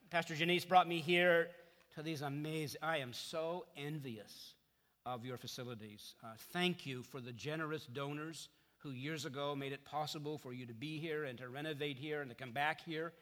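A middle-aged man from Canada delivers a guest sermon in a lively, storytelling way, poking fun at himself. His voice sits high and sounds bright and forceful. It rings out with a long reverb tail in a large hall. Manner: chatty, self-deprecating storyteller. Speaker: guest preacher. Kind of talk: sermon with humorous stories.

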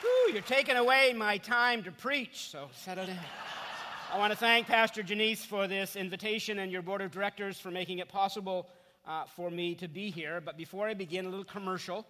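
A middle-aged man from Canada delivers a guest sermon in a lively, storytelling way, poking fun at himself. His voice sits high and sounds bright and forceful. It rings out with a long reverb tail in a large hall. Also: fairly quick, a little hiss or hum. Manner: chatty, self-deprecating storyteller. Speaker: guest preacher. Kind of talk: sermon with humorous stories.

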